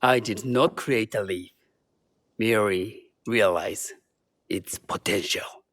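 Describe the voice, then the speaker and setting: A dignified tea master of feudal Japan, courteous and measured in speech. His voice sits a little low and is recorded dry, as in a game cutscene. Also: a bit bright, dry recording. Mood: humble, reverent. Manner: formal, gracious, measured. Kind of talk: video game cutscene acting.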